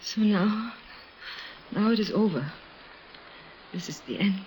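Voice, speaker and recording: A woman's voice: medium pitch, slow, a little mellow, close to an everyday voice. An actress playing a proud, desperate, lovelorn aristocratic woman in a theatrical old-time radio drama style. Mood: stunned, despairing. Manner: theatrical, dramatic, clipped pleading phrases. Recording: noisy vintage radio broadcast.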